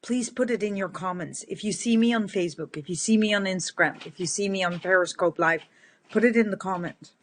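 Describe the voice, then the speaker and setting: A middle-aged woman with a big booming voice, giving an earnest, emphatic motivational talk straight to camera and repeating words for stress. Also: fairly quick, slight background noise. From Netherlands. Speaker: motivational speaker. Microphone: facing several cameras.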